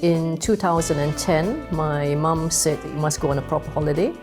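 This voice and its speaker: A woman's voice: sits low, steady tone, normal speed, music in the background. A middle-aged woman from Malaysia telling how she met her husband in a studio interview. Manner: chatty, recounting a story. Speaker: interview guest. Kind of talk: casual storytelling interview. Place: TV studio, indoors.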